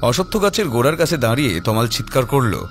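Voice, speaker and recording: A man's voice: medium pitch, very animated, quick pace, soft background music. A man narrating a scripted story in a dramatic storytelling style.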